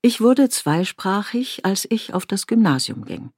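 Measured woman narrating. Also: medium pitch, very animated, a little mellow, husky, no echo, clean studio sound. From Tyrol, Austria. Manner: measured, reflective. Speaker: narrator of a radio feature. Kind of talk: scripted documentary narration.

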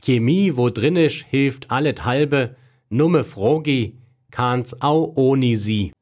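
Man slowly reciting an Alemannic dialect poem. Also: mid-range voice, a little mellow. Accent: Alemannic German. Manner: slow, deliberate recital. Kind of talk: poetry recitation.

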